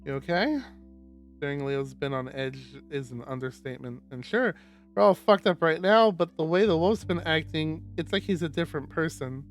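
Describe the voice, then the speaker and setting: A man's voice: a little high, normal speed. A man streaming a visual novel, reading first-person fiction aloud. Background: guitar music.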